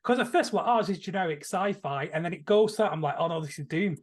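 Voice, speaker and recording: A man's voice: high-pitched, quick pace. A man co-hosting a podcast in casual film chat, acting out imagined remarks as he explains. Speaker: podcast co-host.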